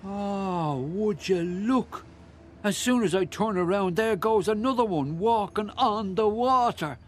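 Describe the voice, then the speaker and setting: Rambling older Irish man, higher-pitched. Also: slow, slight background noise. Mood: chatty, musing. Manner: garrulous, rambling, musing, with hesitations. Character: chatty older married Irish ex-bricklayer, nosy, rambling, full of wonder. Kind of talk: radio play acting.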